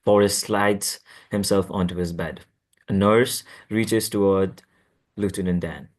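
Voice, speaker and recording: A man's voice: low-pitched, steady tone, a little slow. A man narrating a script at a table read, reading out stage directions.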